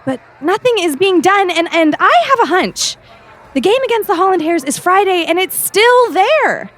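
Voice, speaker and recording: An earnest teenage girl reporter acted by a woman in an audio drama. She has a high voice and pleads her case with hesitant persistence. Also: lively intonation, normal speed, fairly bright tone. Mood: earnest, concerned. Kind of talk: scripted audio drama acting.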